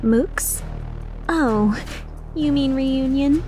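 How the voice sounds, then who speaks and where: A woman's voice: high voice, very slow, over music. A woman voicing a cocky female mercenary leader in a video game fan dub, playful, sarcastic and taunting with an edge of menace. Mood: teasing menace.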